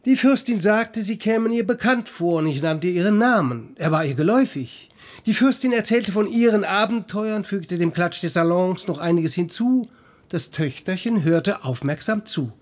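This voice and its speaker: An actor playing a small, thin, skeptical doctor, a wry and knowing confidant who passes on gossip in a radio play. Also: male voice, sits high, lively intonation, moderate pace. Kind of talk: literary radio play dialogue.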